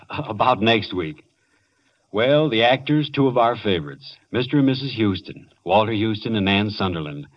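A man's voice: lowish voice, expressive. A man hosting a radio drama, giving formal closing announcements. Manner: measured, formal. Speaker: radio host and writer. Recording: lo-fi 1940s radio broadcast.

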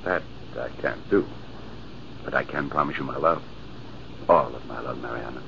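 An actor playing a proud, dashing army captain in a 1940s radio drama, suave and formal, on a dull, hissy old recording. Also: male voice, a bass voice, normal speed, creaky, fairly bright tone, full character voice. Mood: tender.

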